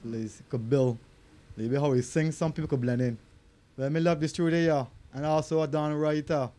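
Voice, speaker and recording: Streetwise Barbadian singer. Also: male voice, on the high side, steady tone, moderate pace. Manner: loose, streetwise, grows loud and hyped. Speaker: artist. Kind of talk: casual interview answers.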